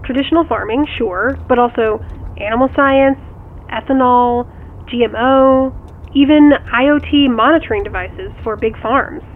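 An actress playing a sharp, teasing sister in an audio drama, wry and direct, heard through a phone. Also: female voice, on the high side, normal speed. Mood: matter-of-fact, probing. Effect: phone call filter. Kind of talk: audio drama acting, conversational. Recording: tinny phone line, faint background noise.

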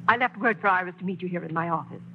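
An actress playing a kindly, dignified headmistress in a 1940s radio drama. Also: female voice, lowish voice, slight background noise, lightly acted. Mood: gracious. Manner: gracious, measured. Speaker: school head. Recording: dull old radio broadcast recording.

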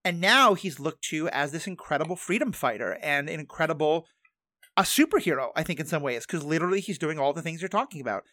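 A podcast host in a conversational interview, talking in animated, quick bursts and restarting sentences while working through ideas. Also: mid-range voice, no room sound, studio quality. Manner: animated, fast bursts, thinking aloud with restarts.

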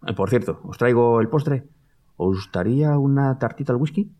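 A man's voice: mid-range voice, normal speed, mellow, natural voice. An actor playing a good-natured, fussy young man, easily flustered and hesitant, in conversational audio comedy. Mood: defensive. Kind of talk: Spanish-language audio fiction comedy acting.

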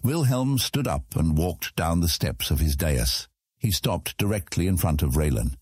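A man narrating a fantasy audiobook in a measured, dramatic storytelling manner. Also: sits low, animated, a little mellow, slightly rough, dry recording, clean studio sound.

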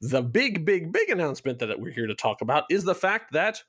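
Male podcast guest, show creator type: fairly high register, dry sound, eager and discursive, casual promotional chat. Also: sing-song, brisk, a bit bright, no echo. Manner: enthusiastic, rambling explainer. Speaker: show creator being interviewed.

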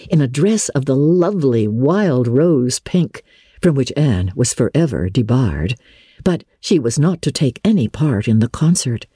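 Woman reading a classic story out loud, mellow lowish voice, really animated, dramatic. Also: slightly rough, no room sound, studio quality. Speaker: audiobook narrator. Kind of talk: dramatic literary narration.

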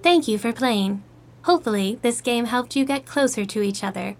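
A woman's voice: a little high, normal speed, soft background music, lightly acted. A woman playing a courteous, rule-bound AI assistant in a futuristic audio drama, speaking formally like an automated announcer. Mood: polite, neutral. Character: polite, rule-bound female AI assistant in a social app. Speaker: virtual assistant and game moderator.

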